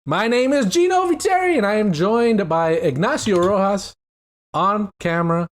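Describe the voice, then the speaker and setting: A man's voice: sits high, expressive, normal speed. Rambling Miami-accented man hosting. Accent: Miami English. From Miami, USA. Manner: rambling and jokey, repeats "you know what I mean". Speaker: podcast host. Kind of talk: casual chat.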